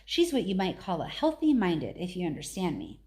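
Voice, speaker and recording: A woman's voice: fairly low pitch, wildly swooping pitch, a little fast, mellow. Sensible housekeeper played by a woman reader, respectful and talkative, plain-spoken storybook servant. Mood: relieved, chatty.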